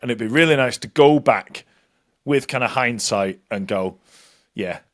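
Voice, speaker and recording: A man's voice: mid-range voice, a little slow. Swearing man hosting a podcast. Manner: joking, swears freely, laughs often. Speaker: podcast co-host. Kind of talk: casual banter.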